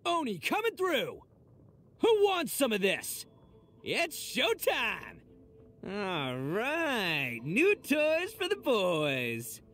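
A man's voice: very high-pitched, huge pitch swings, extremely slow, fairly bright tone, heavily acted. A man voicing a brash, boisterous young oni gang boss in a video game, cocky and theatrical with slangy showboating. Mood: exuberant, cocky. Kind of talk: animated video game character voice lines.

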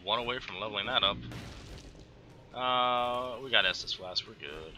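Bright-voiced Texan man gaming. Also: fairly low pitch, a little hiss or hum. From Texas. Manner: chatty, self-talking, quick exclamations. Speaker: gaming channel host. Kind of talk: casual gameplay commentary. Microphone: headset.

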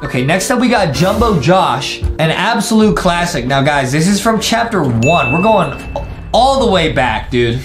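A young American man reacts to games in a lively, swooping voice. His delivery is casual, slangy and exaggerated. The sound is roomy, with music playing behind him. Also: fairly high pitch, normal speed. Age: young adult. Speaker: gaming video co-host. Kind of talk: excited casual reaction commentary.

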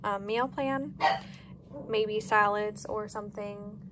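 A woman's voice: mid-range voice, fairly even intonation, slow pace. An American woman talking casually and plainly as a home vlogger, easygoing, recorded on a handheld device in a kitchen. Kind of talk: casual grocery haul and meal plan narration. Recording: noticeable background noise, home kitchen.

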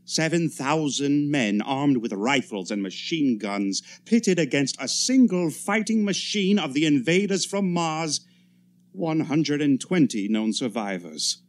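An actor playing a grave, formal radio official making announcements in a 1930s-style radio drama, solemn and measured. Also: male voice, fairly high pitch, very animated. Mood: grave urgency.

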